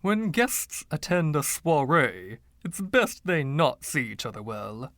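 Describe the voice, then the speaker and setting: A man's voice: a little high, sing-song, slow, fairly bright tone, no room sound, clean studio sound, heavily acted. Man acting out pompous, fussy ghost of a dandy. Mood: haughty. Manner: pompous, fussy, old-fashioned slang. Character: haughty, indignant ghost of a rich 1920s dandy.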